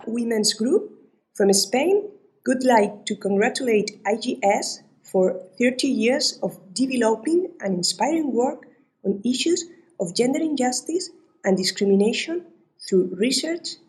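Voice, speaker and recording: A woman's voice: on the high side, animated, unhurried, darkish tone, rough recording. A woman reading out a prepared formal congratulatory message.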